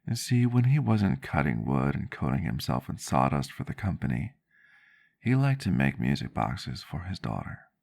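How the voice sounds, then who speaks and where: A man playing a reflective, gentle vampire doctor who tells a story in measured, old-fashioned phrasing for an ASMR roleplay. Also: rumbling low, big pitch swings, vocal fry, exaggerated voice. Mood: wistful. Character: male vampire doctor, ageless but youthful-seeming, reflective and gentle. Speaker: roleplay character telling a story.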